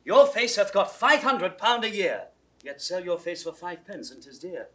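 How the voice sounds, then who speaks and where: A blunt, cheeky young British gentleman in a Shakespeare audio drama. His voice sits on the high side and swoops freely. The delivery is bantering, irreverent and theatrical. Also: very animated, moderate pace. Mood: bold, jesting. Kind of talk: 1960s classical Shakespeare audio drama acting.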